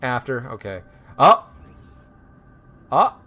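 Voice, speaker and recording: A man's voice: medium pitch, big pitch swings, slow pace, bright, forceful tone, soft background music. A man casually co-hosting a reaction commentary, given to playful, theatrical exclamations. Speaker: co-host of a reaction stream.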